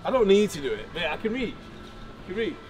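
A man's voice: sits high, very animated, fast talker, background noise. A young man vlogging casually in a supermarket, cheeky and jokey, with a bit of swearing. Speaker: bodybuilder vlogger. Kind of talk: casual vlog walkthrough.

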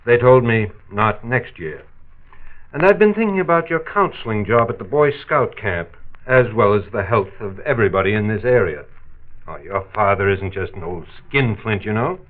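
An actor playing a middle-aged American father and thrifty county supervisor, measured and dryly argumentative, in 1940s radio drama style. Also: fairly low pitch, lively intonation, close to an everyday voice. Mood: measured, earnest. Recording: muffled, narrow-band 1940s transcription disc.

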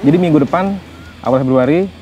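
Fast-talking man, higher-pitched, instructing. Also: warm tone, muffled recording, soft background music. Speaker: jet ski guide and instructor. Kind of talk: explanatory interview answers. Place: outdoors on a grassy seaside shore.

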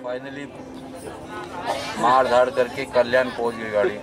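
A man's voice: mid-range voice, level tone, measured pace, over music, a crowd in the background. A man in his forties talking casually to a handheld camera on railway platforms and inside a train, chatting as he travels. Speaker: travel vlogger. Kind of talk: casual travel vlog narration. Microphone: camera held at arm's length.

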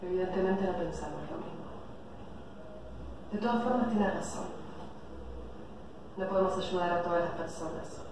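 A woman's voice: fairly low pitch, moderate pace, long pauses, natural voice. An actress playing a formal female prison official with a cool, formal manner. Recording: echoey, distant TV audio with noise.

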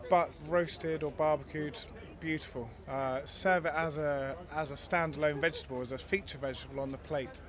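A man's voice: a little high, steady tone, moderate pace, few pauses, a bit bright. Friendly man explaining at a show stall. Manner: friendly, explanatory, with fillers and restarts. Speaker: garlic farm stall worker. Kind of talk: casual interview answers. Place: outdoor show stall under a marquee. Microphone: handheld mic held by the interviewer.

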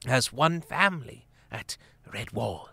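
A man's voice: medium pitch, animated, takes it slowly, creaky, pushed and bright, breathy edge, no room sound, exaggerated voice. A man voicing a tiny, frail, ancient female mouse abbess in a quavery voice, reciting a grace. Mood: solemn.

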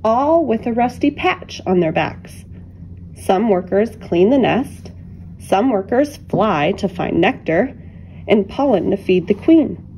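A woman reading a children's picture book aloud with deliberate, expressive phrasing and dramatic pauses. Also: mid-range voice, a little hiss or hum, low-quality recording. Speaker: children's storybook reader.

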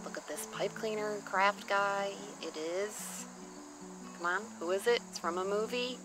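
A woman's voice: mid-range voice, unhurried. Gushing, informal woman vlogger over music. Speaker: vlogger showing thrift finds. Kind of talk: casual show-and-tell chat. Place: outdoors on a patio or deck at a table.

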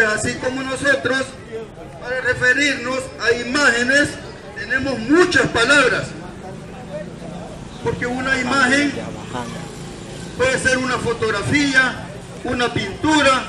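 High-pitched Salvadoran man preaching from afar. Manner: declamatory, in short deliberate phrases. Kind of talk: open-air sermon, explaining scripture. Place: outdoor gazebo in a public town square. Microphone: far camera. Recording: distant, echoey, noisy outdoor recording with crowd. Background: traffic and crowd noise.

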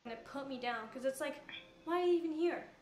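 Teen girl rambling thru q&a answers, high voice, roomy echo. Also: fast talker, darkish tone. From Canada. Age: teens. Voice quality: high-pitched voice. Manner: chatty, rambling with fillers. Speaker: YouTuber answering Q&A. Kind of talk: casual Q&A answers. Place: room with couch, recorded in a reacted video.

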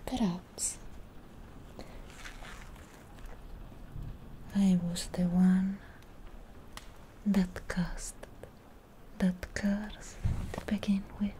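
Woman playing a slow whispering, possessive witch. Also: mid-range voice, little pitch movement, dark tone. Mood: sly, possessive. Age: young adult. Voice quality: breathy whisper. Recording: close whisper with ambient background noise.

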